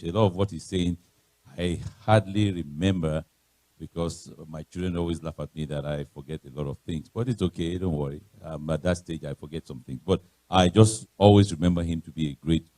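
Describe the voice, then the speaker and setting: A middle-aged man with a Nigerian accent and a loud voice, giving a chatty, informal talk as a guest speaker in church. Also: dry recording. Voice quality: loud, booming voice. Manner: chatty, anecdotal, repeats phrases. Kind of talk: informal motivational talk to a congregation. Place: church podium.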